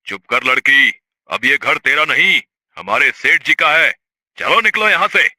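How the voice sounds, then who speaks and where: Man as a cold, forceful eviction thug. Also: on the high side, lively intonation, measured pace, dry recording, exaggerated voice. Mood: cold, threatening. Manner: curt, commanding. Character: moneylender's henchman, cold and bullying evictor. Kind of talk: dramatized audio story acting.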